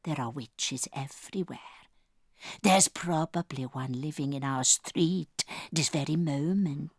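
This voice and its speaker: An actress playing a doting, gravely dramatic old Norwegian grandmother in an audiobook drama, telling things with theatrical emphasis. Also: low-pitched, no room sound, clean studio sound. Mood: earnest, insistent. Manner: theatrical, emphatic, slow and deliberate storytelling.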